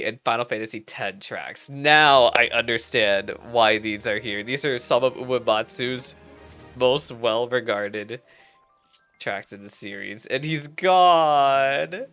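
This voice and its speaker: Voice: mid-range voice, a bit bright. A game streamer of unclear sex, rambling and exclaiming while reacting live to a story quest. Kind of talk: reading game dialogue aloud with excited live reactions. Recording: game music behind the voice. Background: video game music.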